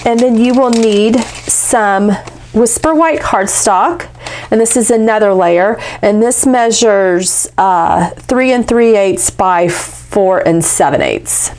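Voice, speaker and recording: A woman's voice: medium pitch, slow pace, darkish tone. A young woman with a congested, stuffy nose, chatting casually through a craft tutorial with lots of fillers. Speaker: craft demonstrator.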